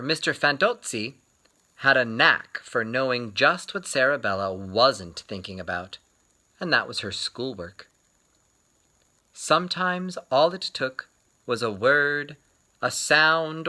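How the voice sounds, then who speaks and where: A man reading a children's book aloud in an expressive storytelling style, with theatrical, deliberate phrasing and dramatic pauses. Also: mid-range voice.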